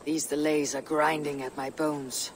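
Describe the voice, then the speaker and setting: An actor playing a stern, impatient Viking warrior with a blunt manner in a video game. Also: male voice, a little high, little pitch movement, measured pace, slight background noise, natural voice. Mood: brooding. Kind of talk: dramatic video game acting.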